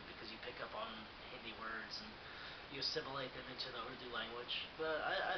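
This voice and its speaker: A man in his twenties talking casually about his life, with many fillers. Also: mid-range voice. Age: 20s. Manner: relaxed, many fillers and "definitely". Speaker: interviewee. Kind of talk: casual personal account. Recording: hissy, dull, roomy home recording.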